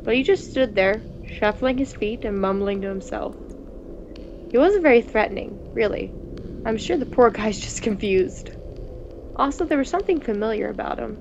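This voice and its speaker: A woman co-hosting a gaming video, reading visual novel text aloud in a playful, expressive way with casual asides. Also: fairly high pitch, moderate pace, creaky. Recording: dull, noisy home recording.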